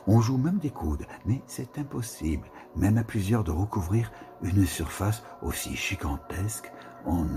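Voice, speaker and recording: Man reading real slow, gravelly voice, rises and falls a lot, music playing behind. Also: low voice. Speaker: reader of a literary text.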